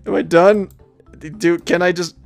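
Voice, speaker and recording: Youngish guy rambling thru a game, kinda higher voice, hesitant n easily amused, music going behind him. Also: little pitch movement, a little slow. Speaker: video game let's player. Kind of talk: casual gameplay commentary.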